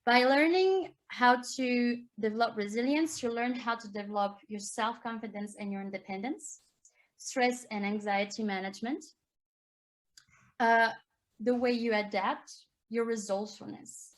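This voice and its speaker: French-accented woman teacher, earnest presenter, slightly high voice. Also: unhurried. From France. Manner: earnest, explanatory, rises in pitch to stress key points. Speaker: primary school teacher. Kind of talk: conference talk with slides.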